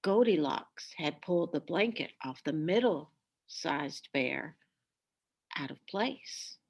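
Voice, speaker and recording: A woman reading a children's story aloud with expressive, deliberate, dramatic narration. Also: low-pitched, breathy edge.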